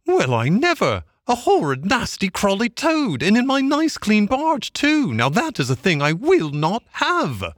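A man's voice: high-pitched, wildly swooping pitch, measured pace, hardly any pauses, a bit bright, no echo, studio quality, voice pushed up, full character voice. A man voicing a brash, mocking, chatty barge woman in a storybook reading. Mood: outraged, disgusted. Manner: chatty, bossy, exclamatory. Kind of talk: audiobook character acting.

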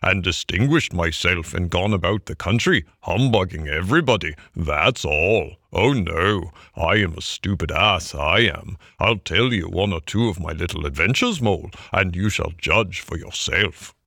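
A man's voice: deep voice, expressive, normal speed, vocal fry, hardly any pauses, bright, forceful tone, no room sound, clean studio sound, full character voice. A man voicing a boastful, vain, self-dramatising male toad in a storybook, with a puffed-up, swaggering delivery. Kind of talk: storybook character voice.

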